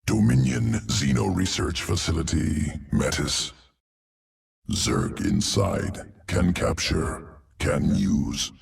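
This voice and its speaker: A man voicing a detached alien scientist creature for a video game, gravelly and processed, in clipped, emotionless phrases. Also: low voice, sing-song, takes it slowly, recorded from a distance, soft background music, full character voice. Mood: clinical, detached. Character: clinical alien evolution-engineer creature, detached, obsessive.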